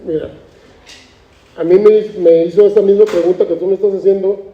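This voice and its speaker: A man in his sixties from the Tijuana border of Mexico, telling stories at a relaxed pace with frequent restarts and repeated words at a book presentation. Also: sits high, fairly quick. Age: 60s. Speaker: artist, book author. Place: cultural institute event room. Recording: roomy, dull-toned event recording.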